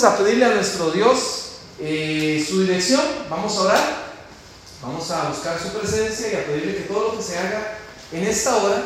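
A Costa Rican man preaches in a high voice, pressing his points with questions to the congregation. The sound rings through a very echoey church hall, with faint noise underneath. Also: fairly bright tone. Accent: Costa Rican Spanish. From Costa Rica. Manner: emphatic, asks the congregation questions. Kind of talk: church teaching talk with prayer.

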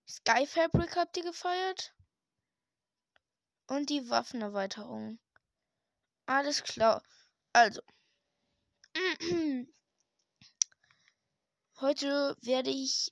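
A hoarse woman with a fairly high voice gives casual commentary as she plays at home. She talks slowly, hesitates and restarts her sentences. The desk mic sounds close and dry. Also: dry recording. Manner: hesitant, rambling, many fillers and restarts. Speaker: gaming channel host. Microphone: desk microphone.

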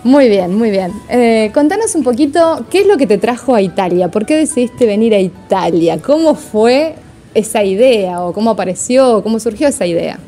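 Woman host stacking quick questions. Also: on the high side, expressive, warm tone, faint music behind. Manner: friendly, asks several questions in a row. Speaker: interview host. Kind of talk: casual interview.

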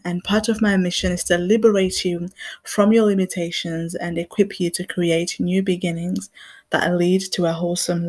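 A woman's voice: lowish voice, creaky, a little mellow. A young adult woman from Zimbabwe hosting her own podcast, talking in an earnest, reflective way. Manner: earnest and reflective, with small laughs. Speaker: podcast host. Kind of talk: personal commentary monologue.

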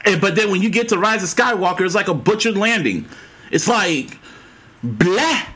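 A man co-hosting a podcast in casual chat, animated, laughing often and swearing freely, with a husky voice. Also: sits high, moderate pace. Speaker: podcast co-host.

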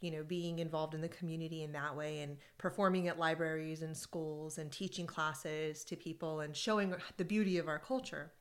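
A woman with a slightly low voice, co-hosting a podcast in casual conversation. She tells her stories in an animated way, breaking into laughter often. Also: fairly quick, few pauses. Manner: animated storytelling with frequent laughs. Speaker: podcast co-host. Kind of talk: casual conversation about workplace groups.

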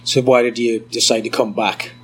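A man's voice: medium pitch, little pitch movement, normal speed, fairly bright tone, slightly rough. A man interviewing a guest in a casual, easygoing chat.

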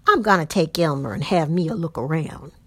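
A woman's voice: deep voice, lively intonation, a little fast, breathy edge, no echo. A woman voicing a skinny, boastful male patroller with a drawl. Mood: stubborn. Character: skinny brash male Southern patroller Travis.

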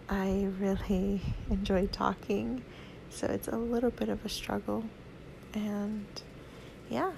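A young woman hosting her first solo podcast, talking casually in a soothing, soft-spoken, rambling way. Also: a little high, gravelly, noisy background. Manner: soft-spoken, rambling, laughs between thoughts. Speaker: podcast host.

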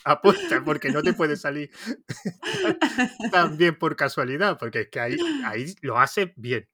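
A man's voice: fairly high pitch, very animated, a little slow, pushed and bright, no room sound, clean studio sound. A man with an Andalusian Spanish accent hosting a podcast interview, chatty and rambling, often restarting his sentences. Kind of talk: casual conversational interview.

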